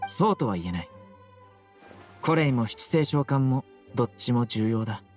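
A man voicing a stoic, proud young guardian in an anime game, terse, serious and deadpan. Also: mid-range voice, moderate pace, faint music behind, close to an everyday voice. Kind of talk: Japanese anime game voice acting.

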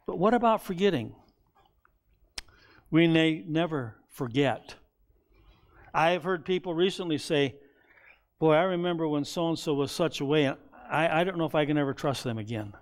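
Brief: older male pastor, fairly high-pitched voice, relaxed conversational sermon, picked up in a church sanctuary. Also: moderate pace, long pauses. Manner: conversational preaching, acts out quoted voices with rising pitch. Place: church sanctuary, seated behind a wooden pulpit.

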